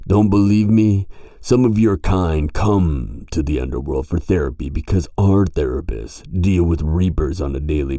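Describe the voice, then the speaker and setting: A sardonic grim reaper, acted by a man for an audio drama, with a very low, dark and mellow voice. He talks in casual slang, brash and teasing, as if always ready to argue. The sound is close and dry. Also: creaky, no echo, full character voice. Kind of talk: audio roleplay acting.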